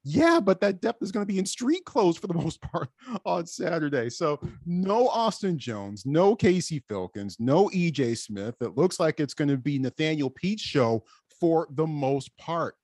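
An American man hosting a sports show, talking in a conversational, animated way. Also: on the high side, measured pace, no echo, studio quality.

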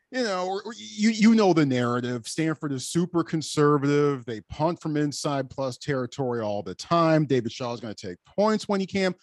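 Higher-pitched American male sports podcast host. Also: a little mellow, no echo, clean studio sound. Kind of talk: sports talk and interview.

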